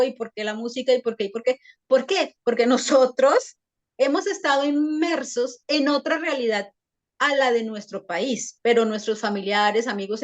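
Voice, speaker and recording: A psychologist being interviewed, explaining her points thoughtfully with frequent hesitations and restarts. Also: on the high side. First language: Spanish. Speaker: psychologist guest. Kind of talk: conversational interview answers.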